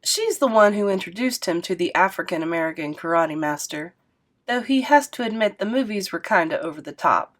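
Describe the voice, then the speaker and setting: A woman reading a middle-grade novel aloud, narrating with expressive lifts for emphasis. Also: medium pitch, fairly quick. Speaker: read-aloud host.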